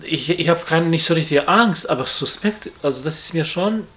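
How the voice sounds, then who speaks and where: A man from abroad answering interview questions in a halting way, repeating words and restarting sentences. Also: on the high side, expressive, a bit bright. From outside Germany. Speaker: interviewee, acquaintance of the victim. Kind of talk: hesitant conversational interview.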